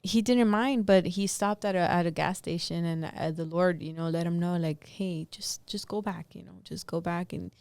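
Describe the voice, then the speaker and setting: A woman tells a story in casual conversation, slipping into the voices of the people in it. Her voice is smooth and clear. The sound is dry and close, with no room echo. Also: fairly low pitch, normal speed, few pauses. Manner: animated storyteller, acts out dialogue. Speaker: guest, business co-owner. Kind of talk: casual chat.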